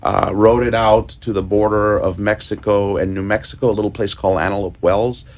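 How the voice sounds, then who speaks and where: A man from Ecuador, a guest on a radio show, telling his story in a relaxed, casual way. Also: fairly low pitch, fairly quick. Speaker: guest motorcyclist.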